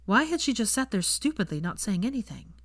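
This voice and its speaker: A woman's voice: mid-range voice, sing-song, brisk, no echo, clean studio sound. A woman narrating a fan fiction audiobook, reading scripted prose as a storyteller.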